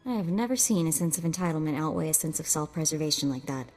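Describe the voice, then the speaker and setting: An actress playing a wry, covetous companion character in a video game. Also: female voice, low voice, animated, fast, a little mellow, soft background music. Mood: awed, wry.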